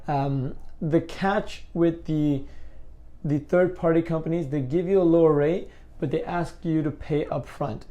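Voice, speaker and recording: A man's voice: fairly high pitch, steady tone, a little slow, mellow, dull audio. A man hosting an advice video, explaining things in a casual, conversational and informal way. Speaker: host of an advice video on car rental.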